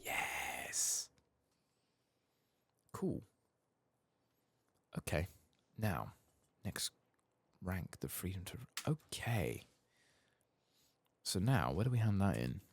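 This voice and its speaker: Male ASMR game streamer: soft-spoken, slow, heavy vocal fry, hesitant muttering to himself while playing. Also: low-pitched, very animated, a little hiss or hum. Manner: murmuring, musing aloud to himself, hesitant. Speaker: gaming channel host.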